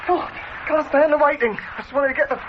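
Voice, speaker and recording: An actor playing an agitated, boastful patient who dreads the dentist in a radio drama, stammering and insistent. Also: male voice, very high voice, quick pace. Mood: nervous, defensive. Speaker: emergency dental patient. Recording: dull, noisy old radio recording.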